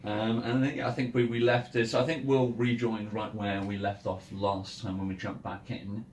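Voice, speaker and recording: A man's voice: fairly low pitch, little pitch movement, moderate pace. A man speaking informally as game master, hesitating and repeating words as he casually narrates a tabletop game among friends. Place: indoor room, in-person game session. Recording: roomy, distant mic, noisy background. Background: ambient music and noise.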